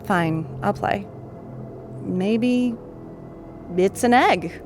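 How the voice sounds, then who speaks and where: A woman's voice: mid-range voice, very slow, darkish tone, soft background music. An actress playing a skeptical, teasing young woman in an audio drama, speaking in a dry, doubtful manner.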